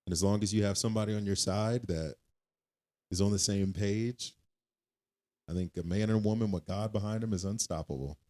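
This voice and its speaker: Deep guy co-hosting a faith podcast, slow and thoughtful, repeats himself a lot. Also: a little mellow. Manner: reflective, repeats himself, trails off. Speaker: podcast co-host. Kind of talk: casual faith-based chat.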